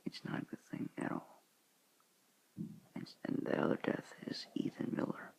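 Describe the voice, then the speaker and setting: A teenage boy talking to his viewers in a soft, hushed, halting whisper, earnest and sorrowful in tone. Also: low-quality recording. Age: teens. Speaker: student vlogger. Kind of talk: whispered somber address to viewers.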